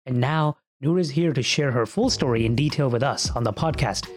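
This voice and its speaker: Guy hosting a podcast, mid pitch voice, reads the intro then chats easy with his guest. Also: fairly quick. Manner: reads a scripted intro, then asks friendly conversational questions. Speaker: podcast host. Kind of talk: interview podcast chat.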